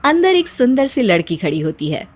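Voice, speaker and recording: A woman's voice: on the high side, big pitch swings, normal speed, breathy edge. A woman narrating a scripted story as its storyteller.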